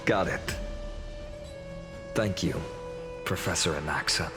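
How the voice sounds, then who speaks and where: Slow, earnest young hero. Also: male voice, low-pitched. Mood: hesitant, nervous. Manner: measured, polite, sincere. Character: earnest, humble young village hero turned orator. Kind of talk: scripted game voice acting. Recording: music behind the voice.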